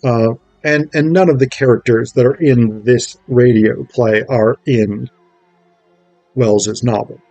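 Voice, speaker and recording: A man's voice: medium pitch, fairly even intonation, slow, dark tone, no echo, soft background music. A man giving a casual spoken review, enthusiastic and digressive, often thinking aloud as he goes. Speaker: amateur stage actor reviewing a play script.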